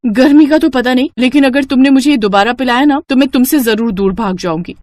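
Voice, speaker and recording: A woman narrating a cartoon story and voicing the young wife, in an expressive storytelling manner. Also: high-pitched, brisk. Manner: lively, expressive.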